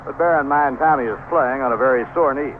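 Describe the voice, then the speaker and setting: A man's voice: medium pitch, fast. An American man calling baseball play-by-play as a live radio commentator. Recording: narrow, noisy 1970s AM radio broadcast.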